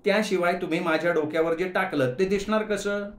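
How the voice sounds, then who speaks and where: A feisty, sharp-tongued young woman with a lifted, high voice, firing back quick mocking retorts, as a male storyteller puts her on. Her lines ring in a roomy space. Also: close to an everyday voice. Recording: roomy echo.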